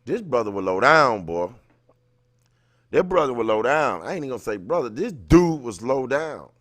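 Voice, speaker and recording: A man's voice: medium pitch, sing-song, moderate pace, fairly bright tone, no room sound. A man hosting a livestream, reading an article aloud and adding blunt, colloquial comments of his own. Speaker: livestream host.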